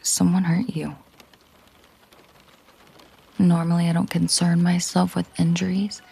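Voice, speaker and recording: A woman playing a possessive, coolly menacing mafia boss girlfriend in an intimate audio roleplay, teasing and commanding in a breathy, husky murmur. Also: on the low side, level tone, unhurried, mellow, exaggerated voice. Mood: protective, probing.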